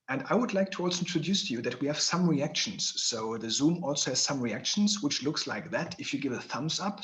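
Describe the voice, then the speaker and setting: A man's voice: fairly high pitch, lo-fi sound. A man from Germany, speaking English as a while hosting a live online tour over a video call, chatty and friendly with many fillers. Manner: friendly, chatty, slightly non-native phrasing with fillers. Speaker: tour guide and host.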